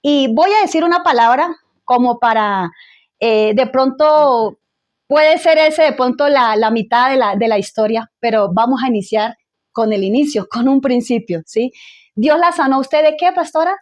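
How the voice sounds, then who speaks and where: High-voiced effusive woman pastor host. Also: normal speed. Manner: effusive, restarts and repeats words. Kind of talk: church talk show interview.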